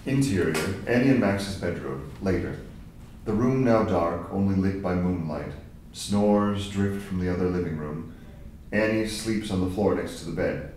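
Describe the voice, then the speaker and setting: A man's voice: low-pitched, unhurried, a little mellow. A man reading screenplay stage directions as scripted narration at a table read. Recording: very echoey room, distant mic, faint noise.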